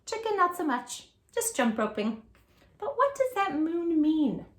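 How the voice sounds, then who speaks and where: A woman in her forties reading a picture book aloud at home, sing-song and animated, asking the listener questions. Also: very high voice, a little slow, echoey room. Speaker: children's book reader. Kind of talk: read-aloud with tips for parents. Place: home room with posters.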